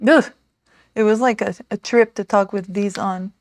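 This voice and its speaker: A woman in her thirties hosting a casual makeover livestream, rambling and excitable, with lots of "like" and "um". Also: medium pitch, moderate pace, no echo, clean studio sound, faint music behind. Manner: rambling, excitable, many fillers like "like" and "um". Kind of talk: casual chatty livestream talk. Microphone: small desk mic.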